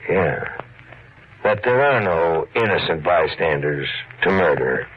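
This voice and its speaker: Low-voiced, plain-spoken husky cop. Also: male voice, lively intonation, takes it slowly, exaggerated voice. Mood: explaining, earnest. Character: plain-spoken, steady male police officer. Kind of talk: 1940s radio acting. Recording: dull lo-fi 1940s radio recording.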